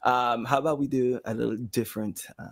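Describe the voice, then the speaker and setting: A man in his thirties talking casually as a podcast guest, halting as he searches for words. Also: mid-range voice, vocal fry. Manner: halting, searching for words, animated quoted asides. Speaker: guest, sound designer and community engagement director at a game studio. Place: small home studio room, indoors. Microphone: microphone on boom arm.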